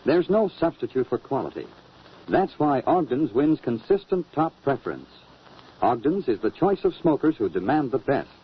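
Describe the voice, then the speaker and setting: A man announcing an old-time radio show, delivering a scripted introduction and sponsor pitch on a muffled old recording. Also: big pitch swings, normal speed, husky.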